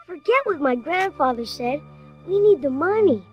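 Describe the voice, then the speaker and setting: A woman's voice: high-pitched, lively intonation, moderate pace, highs cut off. A woman playing an earnest young Chinese boy in a storybook audio drama, with insistent, casual, slangy delivery. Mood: urgent, serious. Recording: music under the dialogue.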